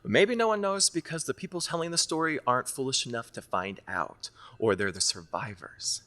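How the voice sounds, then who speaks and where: A man's voice: medium pitch, normal speed, sharp tone, lightly acted. A man playing an anxious, irritable young woman in a horror audio drama, sarcastic and defensive, with an intense way of telling a tale. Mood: hushed, grave storytelling.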